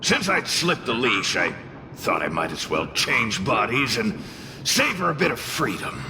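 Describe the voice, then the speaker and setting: An actor playing a grumpy, sarcastic spirit in a video game, gravelly and theatrical, with haughty disdain. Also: male voice, mid-range voice, sing-song, unhurried, noisy background. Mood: wistful, brooding.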